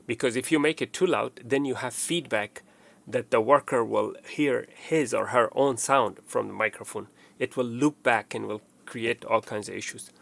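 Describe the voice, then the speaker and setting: A man's voice: mid-range voice, moderate pace, creaky, few pauses, fairly bright tone. A man giving a casual, step-by-step installation walkthrough, explaining plainly and often repeating his instructions. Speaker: technician presenting a how-to tutorial.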